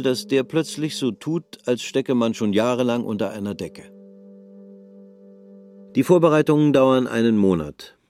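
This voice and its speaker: Male narrator, radio drama, measured storytelling, low voice, faint music. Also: dark tone.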